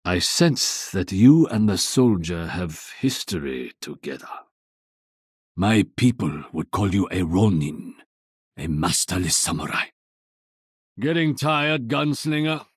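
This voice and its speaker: An actor playing a stern, proud exiled warrior archer with a Japanese accent and a husky voice, speaking solemnly and tersely in a video game. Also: male voice, on the low side, expressive, takes it slowly, no echo, clean studio sound, full character voice. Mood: stern, brooding.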